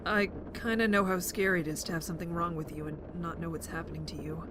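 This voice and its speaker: A woman's voice: on the low side, fairly even intonation, fast, lightly acted. A woman voicing a nervous but wry, friendly teenage boy in an audio drama, hesitant and prone to stammering. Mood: anxious. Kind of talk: fan audio drama acting. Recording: noisy ambience behind the voice.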